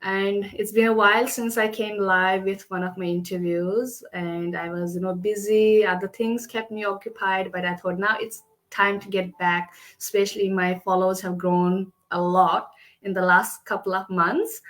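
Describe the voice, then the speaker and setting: A woman's voice: medium pitch, steady tone, unhurried, a bit bright. A young woman from Kashmir hosting a casual live-stream interview over a video call, chatty and full of "you know". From Kashmir, India. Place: home, with her small son nearby.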